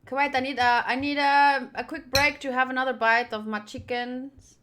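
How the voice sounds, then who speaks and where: A woman hosting a visual novel playthrough, reading the narration aloud and adding chatty, expressive asides. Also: sits high, normal speed, a little hiss or hum. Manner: chatty, expressive, reacting fondly to the game. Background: background music.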